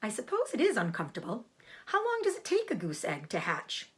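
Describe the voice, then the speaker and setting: An earnest young pig from a storybook, acted out by a woman, speaking with formal politeness and anxious hope. The voice is lively, with plenty of rise and fall. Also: medium pitch, brisk. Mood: restless, curious. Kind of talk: storybook character voice.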